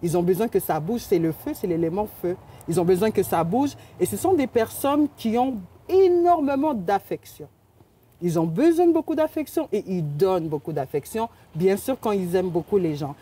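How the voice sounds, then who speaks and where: A woman's voice: medium pitch, normal speed. A woman hosting a community TV interview, giving encouraging astrology readings to her guests. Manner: warm, encouraging, animated. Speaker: host.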